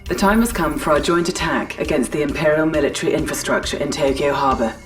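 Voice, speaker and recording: A woman's voice: low voice, quick pace, lightly acted. A woman playing a composed, impersonal military announcer in a video game, clipped and formal. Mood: formal briefing. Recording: distant, under loud music.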